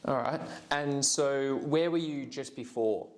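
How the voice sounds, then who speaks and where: A man's voice: medium pitch, a little slow. A young man casually interviewing a friend, friendly and loose, with many fillers.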